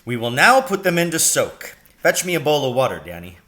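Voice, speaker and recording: A man plays a protective father from a storybook. His voice is bright and raised above an ordinary talking pitch, with an emphatic, urgent delivery. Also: very animated, a little fast. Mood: brisk. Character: caring, fiercely protective father of a schoolboy. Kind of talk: acted storybook reading.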